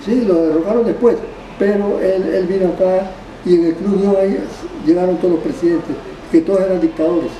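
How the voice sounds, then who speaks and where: An older man tells his story in halting bits, restarting phrases and tacking on little tag questions. His voice sits fairly high. He is picked up from a distance in a roomy space with steady ambient noise behind him. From Panama. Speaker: barber being interviewed. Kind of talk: rambling oral history. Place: barbershop.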